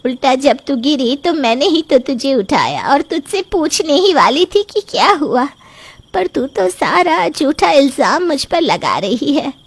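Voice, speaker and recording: A woman voicing a sharp-tongued, scolding middle-aged mother-in-law in an animated story, with a sarcastic manner. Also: high voice, measured pace, slight background noise, full character voice. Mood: defensive. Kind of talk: cartoon storybook voice acting.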